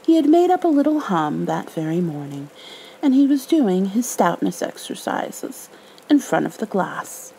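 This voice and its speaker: A woman softly reading a storybook and talking tenderly in a soothing, motherly ASMR roleplay. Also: medium pitch, very animated, measured pace, creaky, poor audio. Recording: faint background noise, rain ambience.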